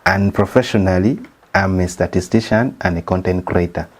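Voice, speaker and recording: A man's voice: sits low, normal speed. A man from Uganda with a Ugandan English accent, a statistician hosting his channel and walking viewers through a slideshow lesson step by step. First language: not English. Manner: methodical, explains step by step.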